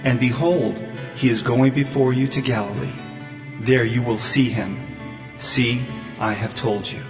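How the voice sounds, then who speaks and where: An actor playing a calm, commanding angel of the Lord, solemnly proclaiming in a biblical audio drama. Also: male voice, medium pitch, a little slow, close to an everyday voice. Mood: reassuring, solemn. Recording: long cavernous reverb, music behind.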